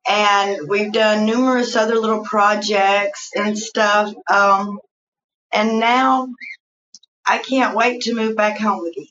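A woman with a Southern US accent, a guest telling her life story slowly in a casual interview, with frequent fillers. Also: medium pitch, fairly even intonation, lo-fi sound, slight background noise. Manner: slow, warm storytelling with fillers.

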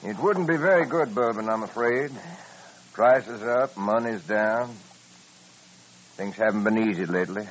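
A man's voice: on the low side, measured pace, pauses often, husky. An actor playing a sour, self-pitying middle-aged father of a poor frontier family in a radio western, folksy and complaining. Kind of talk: 1950s radio western acting. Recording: dull old radio recording.

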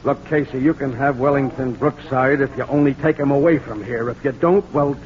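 An actor playing a gruff, skeptical police sergeant, brusque and authoritative, with an American accent in 1940s radio style. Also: male voice, medium pitch, fairly even intonation, brisk, lightly acted. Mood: stern, relenting. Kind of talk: 1940s radio drama acting. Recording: dull, noisy 1940s radio recording.

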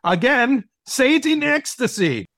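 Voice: sits high, sing-song, unhurried, a bit bright, dry recording, studio quality, heavily acted. A performer playing a pompous, lecherous male dog who teaches acting, with theatrical, commanding delivery in an audio play. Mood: urging, excited.

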